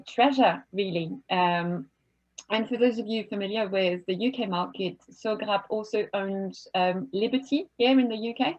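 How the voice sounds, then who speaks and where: A woman hosts an online panel from her home office, chatting warmly and often restarting her sentences. Her pitch sits in the middle range. The video-call audio sounds slightly dull and lo-fi. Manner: friendly, chatty, frequent fillers and restarts. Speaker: webinar host and moderator.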